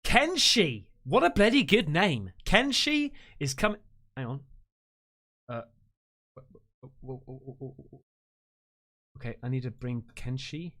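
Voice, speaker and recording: A male live-stream host talks with callers in an easygoing, slangy way. His voice sits a little high and swings widely in pitch. The tone is bright and forceful. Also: a little slow, pauses often. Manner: chummy and excitable, leans on slang. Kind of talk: casual live chat.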